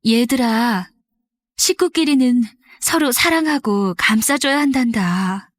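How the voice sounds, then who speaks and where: A woman voicing a caring, protective mother duck in a children's storybook, gentle and soothing. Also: a little high, takes it slowly, no room sound, clean studio sound. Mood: tender.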